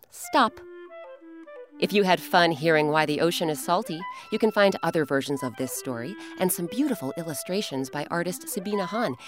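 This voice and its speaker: A woman narrating a scripted children's story as host, with dramatic, expressive, deliberate phrasing. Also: lowish voice. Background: music.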